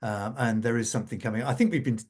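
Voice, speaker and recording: A man's voice: medium pitch, a little mellow, husky. Middle-aged or older guy chatting on a panel, mid voice, keeps restarting sentences, telling stories. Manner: halting, repeats and restarts phrases. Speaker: radio drama scriptwriter on a panel. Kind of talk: informal anecdotal conversation at a conference.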